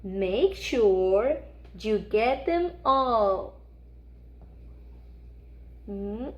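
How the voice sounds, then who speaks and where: A woman voicing an excitable, mischievous, friendly picture-book monster, full of gasps and exclamations as she coaxes young children along. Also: medium pitch, very slow, lo-fi sound, pitched below the performer's own voice. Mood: delighted. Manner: gasping, exclaiming, exaggerated wonder, coaxing young children. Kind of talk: animated children's read-aloud.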